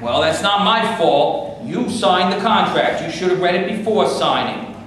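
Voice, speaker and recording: Man acting high-voiced, hard-nosed studio boss, echoey room. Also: animated, a little slow, fairly bright tone. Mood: firm, officious. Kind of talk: staged reading of a play. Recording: echoey live room, distant camera, noisy.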